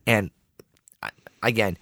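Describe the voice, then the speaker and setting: Raspy-voiced man, low and dry. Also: takes it slowly, bright, forceful tone, no room sound. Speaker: podcast co-host. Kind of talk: casual chat.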